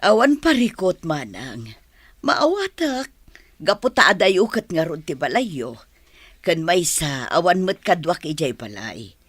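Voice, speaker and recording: A woman acting a low, husky, motherly madam. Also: very animated, unhurried, no echo, full character voice. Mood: obliging, reassuring. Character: kindly, ailing madam who lost her son. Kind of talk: Ilocano radio drama acting.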